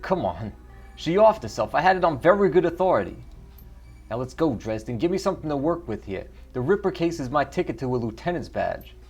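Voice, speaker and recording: A man's voice: a little high, sing-song, fairly quick, a little mellow, husky, faint music behind, voice pushed up, exaggerated voice. A man voicing a brash, bullying, crooked ork homicide detective in a video game. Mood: impatient. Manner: blustering, bullying.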